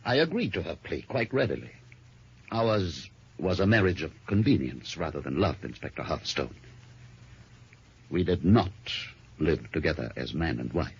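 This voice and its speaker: An actor playing a suave, guarded gentleman suspect in a radio mystery, polished and courteous but evasive. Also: extremely low pitch, big pitch swings, normal speed, husky, full character voice. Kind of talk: old-time radio drama acting. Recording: muffled old radio recording.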